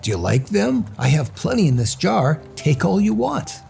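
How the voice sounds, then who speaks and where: Man as confident storybook trickster, lively intonation, gravelly edge, teasing, bold, unruffled. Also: fairly high pitch, moderate pace, faint music behind, voice pushed up, full character voice.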